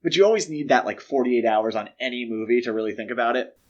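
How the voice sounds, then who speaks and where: A man's voice: medium pitch, fast, muffled recording. Man casually talking films as podcast host. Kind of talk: casual film discussion.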